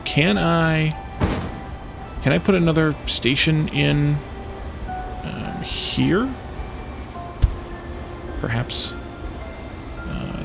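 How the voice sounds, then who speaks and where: A man's voice: fairly high pitch, gravelly. A man giving casual gameplay commentary, thinking aloud in a musing, tentative way as he hosts a let's play. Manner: musing, tentative, talking to himself. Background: music behind the voice.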